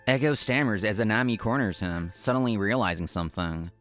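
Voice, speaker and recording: A man's voice: on the low side, brisk, fairly bright tone, soft background music, natural voice. A man playing an earnest, polite office worker who narrates his own story in a comic dub. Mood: narrating. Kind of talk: scripted first-person narration, comic dub.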